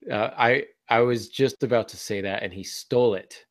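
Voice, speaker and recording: A man's voice: mid-range voice, normal speed, no echo. A man hosting a casual podcast discussion as a writer, talking in a wry, joking way. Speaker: podcast host and author.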